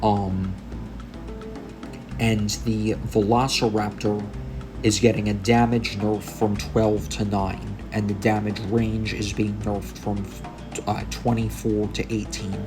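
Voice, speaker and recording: A young man walks through game balance changes in a slow, low, gravelly voice, sounding tired and like he is coming down with a cold. His delivery stays flat and hesitant, full of ums. Game music plays clearly under his voice. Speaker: gaming YouTuber. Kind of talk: gameplay commentary on patch notes.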